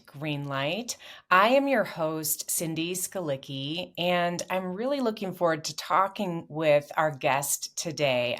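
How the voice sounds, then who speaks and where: A middle-aged woman hosting a podcast interview, upbeat and encouraging, with frequent affirmations. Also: deep voice. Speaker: podcast host. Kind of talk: scripted intro then casual interview.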